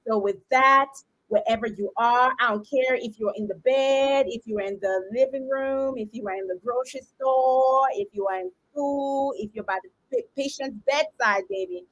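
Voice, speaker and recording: A woman's voice: high-pitched, normal speed, muffled recording. A middle-aged woman hosting an online roundtable over a video call, with exuberant greetings and a rhythmic, preacher-like cadence. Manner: exuberant, hype-style greetings and affirmations. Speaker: host of a roundtable.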